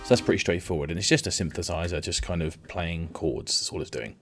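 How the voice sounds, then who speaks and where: Deep-voiced man giving a tutorial. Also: fast, loud music behind the voice. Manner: explanatory, informal, rephrases and repeats key points. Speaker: music technology teacher. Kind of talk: informal software tutorial, explaining step by step.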